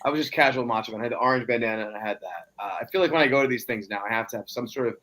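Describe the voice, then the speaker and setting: A man's voice: medium pitch, racing, fairly bright tone. A man in his late thirties, a guest cosplayer telling rambling, easygoing stories in casual podcast chat. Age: 30s.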